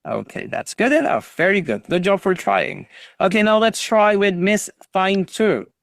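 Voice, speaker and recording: A man's voice: sits high, animated, measured pace, vocal fry, a bit bright, dry recording, clean studio sound. A man teaching an online English class over a video call, patiently drilling students on pronunciation and encouraging them.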